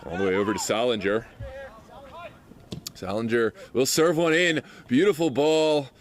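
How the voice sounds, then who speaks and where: A man doing loud live play-by-play for an amateur soccer match from the sideline, his voice lifting on attacking moves. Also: medium pitch, normal speed. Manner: loud, excitable calls on attacks. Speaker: play-by-play commentator. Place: outdoors at a soccer field sideline. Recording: outdoor field with crowd noise. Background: crowd.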